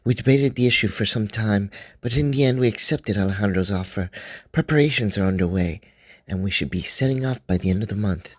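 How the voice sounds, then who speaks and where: A man playing a 1920s scholar who reads his expedition journal aloud in a measured, dryly wry way. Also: lowish voice, no echo, close to an everyday voice. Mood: thoughtful. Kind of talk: first-person journal reading, radio drama.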